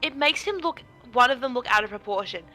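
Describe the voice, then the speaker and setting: A woman's voice: fairly high pitch, animated, fairly quick, sharp tone. A woman giving casual, blunt and exclamatory commentary on a game stream. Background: game music.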